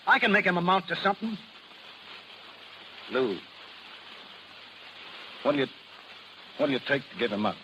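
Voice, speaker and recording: An actor playing a bitter, hot-tempered middle-aged carnival barker and father in a 1930s radio drama, forceful and slangy. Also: a little high, big pitch swings, normal speed, pauses often, highs cut off. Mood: urgent, pleading. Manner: rough slangy speech, forceful. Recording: noisy old 1930s radio recording.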